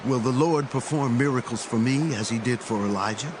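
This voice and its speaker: An actor playing a firm, solemn Hebrew prophet in a dramatized audio Bible, with an earnest, declamatory manner. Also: male voice, mid-range voice, fairly quick, noisy background, lightly acted. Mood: earnest pleading.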